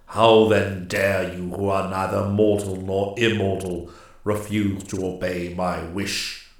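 A man voicing a grave, ancient immortal master of the forest in a storybook, speaking in stern, stately, deliberate pronouncements. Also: fairly low pitch, fairly even intonation, heavy reverb, lightly acted. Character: grave, commanding ancient immortal master woodsman, bearded male.

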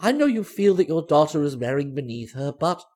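Male reader as middle-aged lady, raised pitch, proud, earnest, emotional, confiding, storybook character. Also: sing-song, brisk, darkish tone. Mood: pleading, proud. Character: proud, emotional middle-aged mother, former vaudeville performer.

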